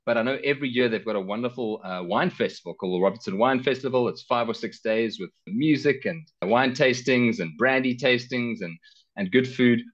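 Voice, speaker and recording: A man's voice: mid-range voice, fairly quick. South African man, slightly dull call audio. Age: middle-aged. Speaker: travel guest, car rental company director. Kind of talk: casual interview talk. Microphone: headset on a video call.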